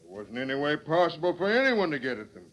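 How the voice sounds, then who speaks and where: A man's voice: a little high, big pitch swings, quick pace, fairly bright tone. An actor with an American accent playing an earnest, worried father who protests indignantly in a 1930s radio western. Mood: defensive. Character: indignant, worried middle-aged father on the frontier. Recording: old 1930s radio recording.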